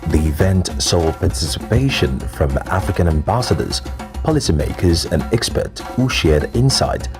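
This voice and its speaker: Lower-voiced man narrating a news report. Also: animated, over music. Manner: measured, formal. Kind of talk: scripted news voice-over.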